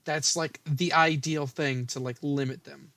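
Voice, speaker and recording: A man's voice: on the high side, steady tone, a little slow, pushed and bright. A young man casually reading a story aloud and bantering about it. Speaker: host reading own old fan fiction.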